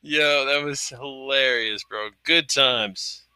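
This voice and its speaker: A man's voice: mid-range voice, slow, pushed and bright, smooth, no room sound. A man in his thirties in casual chat, hesitant and profane, full of fillers and restarts. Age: 30s.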